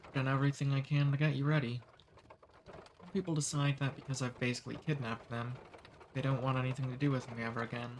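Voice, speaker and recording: A man's voice: mid-range voice, fast talker, slight background noise, lightly acted. A man voicing a cordial old demon escort. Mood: calm. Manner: calm, friendly, gently teasing. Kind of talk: ASMR audio roleplay.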